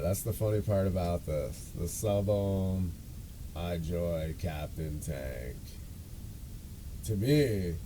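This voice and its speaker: A man's voice: sits low, warm tone, slight background noise, rough recording. A man hosting a podcast, telling a story in a casual, rambling, self-deprecating way with loose swearing. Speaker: podcast and show host.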